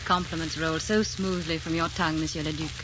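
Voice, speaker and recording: A woman's voice: sits low, steady tone, a little fast, noisy background, close to an everyday voice. An actress playing a demure, guarded aristocratic lady in a formal, refined 1940s radio romance. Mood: polite, reserved. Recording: muffled old 1940s radio recording.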